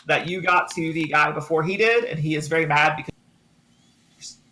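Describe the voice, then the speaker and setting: A man narrating a tabletop game as game master, improvising with frequent ums and pauses. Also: a little high, steady tone, pushed and bright, slight background noise.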